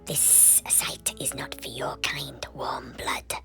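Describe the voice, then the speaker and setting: A man voicing a stern lizard-man skink in a fantasy audio play, in a breathy, creaky whisper. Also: mid-range voice, flat delivery, slow pace, over music, voice pushed up. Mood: hushed warning.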